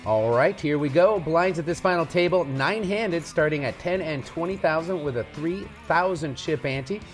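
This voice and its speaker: Male sports host, fairly high voice, polished upbeat tournament commentary over faint music. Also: expressive, normal speed. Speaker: poker show host and commentator. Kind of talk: upbeat broadcast hosting and commentary.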